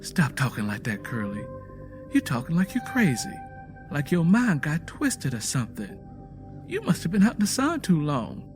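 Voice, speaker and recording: A man's voice: on the high side, wildly swooping pitch, normal speed, music in the background. A man voicing an earnest, anxious, devout young wife with an American Southern accent and a pleading rural way of talking, in a narrated story. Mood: scolding, pleading.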